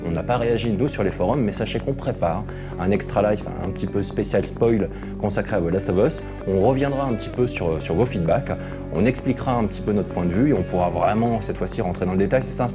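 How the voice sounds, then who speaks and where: Fast-talking low-voiced man co-hosting. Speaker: co-host and game critic. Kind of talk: conversational show presenting. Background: music under the voice.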